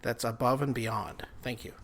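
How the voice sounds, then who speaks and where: A man's voice: mid-range voice, fairly even intonation, moderate pace, fairly bright tone. A man co-hosting a casual podcast, with dry, wry humor and frequent laughs. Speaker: podcast co-host and editor. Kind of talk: casual chat.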